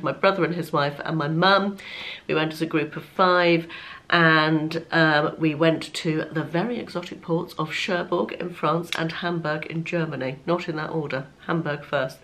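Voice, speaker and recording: A slightly croaky woman, chatting to camera as a lifestyle vlogger, chatty and self-deprecating, often laughing as she talks. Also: low-pitched, fairly bright tone. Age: 50s. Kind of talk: casual chat to camera.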